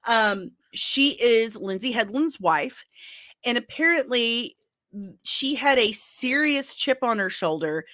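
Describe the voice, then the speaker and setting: Casual stream host, middle-aged woman, nasal, talks with emphasis and quick asides, close dry mic. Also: fairly high pitch, lively intonation, sharp tone, no room sound. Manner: chatty, emphatic, with asides and laughs. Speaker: pop culture news host. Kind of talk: casual livestream chat.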